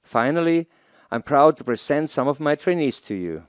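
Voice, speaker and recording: A middle-aged man gives a formal, deliberate scripted presentation into a headset mic in a small studio. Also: a little high, expressive, clean tone. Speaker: presenter, audio educator. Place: small home studio, indoors.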